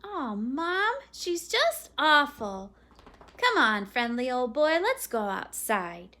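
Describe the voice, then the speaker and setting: A woman's voice: sits high, very animated, takes it slowly, pushed and bright, pitched above the performer's own voice, exaggerated voice. A woman voicing an eager, earnest young boy in a storybook, with an emphatic, boyish manner. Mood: scornful.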